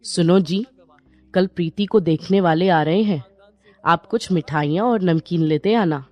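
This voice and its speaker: A woman voicing a worried, homely mother in an animated moral story, speaking earnestly. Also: lowish voice, a little slow, lo-fi sound, soft background music, close to an everyday voice. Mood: hurried, eager. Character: worried, homely mother seeking a match for her daughter.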